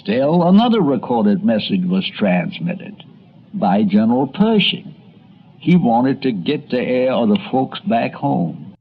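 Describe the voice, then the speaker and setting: A man's voice: mid-range voice, very animated, unhurried, muffled recording, a little hiss or hum. A man narrating a scripted radio history documentary in a storytelling way, with conversational asides.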